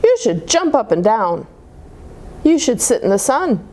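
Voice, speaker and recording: A woman's voice: on the high side, very animated, a little slow, mellow, slight background noise. A woman voicing cheerful, pushy jungle animals in a children's storybook, coaxing a friend to join in. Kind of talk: children's storybook character reading.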